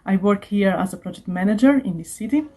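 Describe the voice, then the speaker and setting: A chatty project manager. Her tone is mellow and warm, at a middle pitch. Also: expressive, fast talker. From Italy. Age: 20s. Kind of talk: recorded self-introduction.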